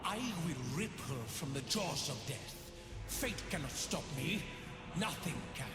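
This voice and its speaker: A brooding, grief-mad undead king from a video game. His voice sits fairly high and swings theatrically with obsession and grandeur. Long reverb surrounds it, with music playing underneath. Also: animated, measured pace. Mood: menacing, defiant. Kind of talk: dramatic video game villain voice lines.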